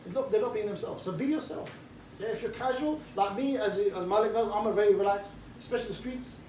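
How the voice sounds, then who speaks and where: A middle-aged man, giving a relaxed, jovial briefing to a group and mixing in cheeky banter. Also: high-pitched, fast. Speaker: street preaching trainer. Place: indoor room with a group. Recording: roomy echo, distant mic, faint background noise.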